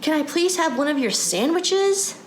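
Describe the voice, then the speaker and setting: A woman's voice: sits high, expressive, moderate pace, a little hiss or hum, pitched above the performer's own voice. A woman voicing a hungry, impatient schoolboy in a read-aloud storybook, blurting out his words. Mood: impatient, furtive. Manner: blurting, whispering when furtive. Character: hungry impatient schoolboy, grudging then delighted by food.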